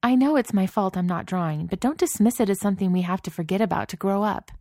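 A woman's voice: mid-range voice, moderate pace, darkish tone, no echo, studio quality, pitched above the performer's own voice, lightly acted. A woman voicing a frustrated young woman artist who speaks in an impassioned, raised voice.

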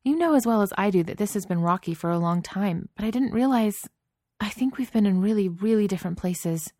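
A woman's voice: medium pitch, lively intonation, fairly quick, dark tone, no room sound, studio quality, voice pushed up, close to an everyday voice. A woman voicing a frustrated young woman artist who speaks in an impassioned, raised voice.

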